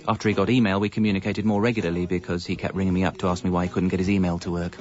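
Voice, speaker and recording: An actor as a harried English family man narrating his own radio comedy. His voice is low and wry, tripping over itself when flustered. The sound is slightly dull. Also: level tone, rapid-fire, darkish tone, faint music behind, close to an everyday voice. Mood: wry, rueful. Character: harried, exasperated husband and father telling his story. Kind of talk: radio comedy first-person narration and dialogue.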